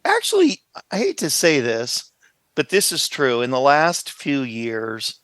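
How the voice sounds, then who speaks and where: A man's voice: medium pitch, wildly swooping pitch, unhurried, fairly bright tone, dry recording, clean studio sound. A man co-hosting a podcast in casual chat, musing his way through answers a little hesitantly. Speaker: podcast co-host.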